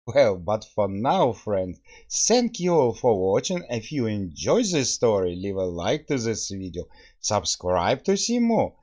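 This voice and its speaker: Slow, animated man hosting, slightly dull sound. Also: on the low side. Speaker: host of a game review video. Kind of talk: presenting to viewers, game playthrough commentary.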